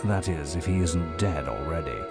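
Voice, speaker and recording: An actor playing a worldly guild mentor in a video game, familiar and knowing, with theatrical, swooping intonation. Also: male voice, very deep voice, moderate pace. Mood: concerned. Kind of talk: video game character dialogue. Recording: music behind the voice.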